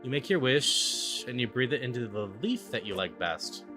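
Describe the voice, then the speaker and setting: A halting, unsure young traveler from a story game, played by a man reading aloud, stretches out phrases softly and defensively. Music sits clearly behind the voice. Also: medium pitch, sing-song. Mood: halting, earnest.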